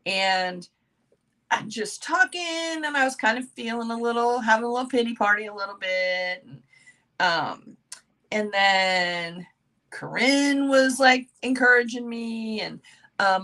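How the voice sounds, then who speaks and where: A middle-aged woman with a bright, forward voice, a little raspy from recent yelling. She speaks earnestly over a video call and often stops and restarts her sentences. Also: mid-range voice. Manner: halting, earnest, with many restarts. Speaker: sales consultant guest. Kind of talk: personal sharing on a video call.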